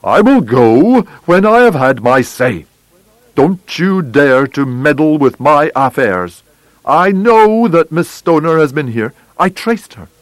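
A male narrator performs a huge, fierce old man in a storybook tale, full of menace. He snarls and blusters with a pitch that swings widely, drawing out his threats in a dry recording. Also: very animated, unhurried, no echo, rough recording. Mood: menacing. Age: older. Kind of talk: audiobook character voice.

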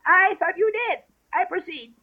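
A man voicing a fussy, self-important male mouse who lectures in a prim tone. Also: normal speed. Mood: pompous. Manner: prim, lecturing, high squeaky voice. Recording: tinny phone-like audio.

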